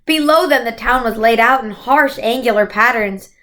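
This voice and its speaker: A woman reading a children's novel aloud as expressive storybook narration. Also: fairly high pitch, moderate pace, slight background noise.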